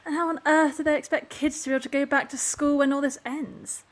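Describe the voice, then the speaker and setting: A woman's voice: sits high, level tone, fast, natural voice. A woman playing an exasperated, worried mother in a filmed diary monologue. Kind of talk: spoken diary monologue in a short film.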